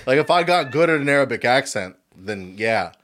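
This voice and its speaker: A man's voice: medium pitch, lively intonation, brisk, a bit bright. A young man co-hosting a casual podcast chat, laid-back and slangy, often trailing off with "like". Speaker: podcast co-host.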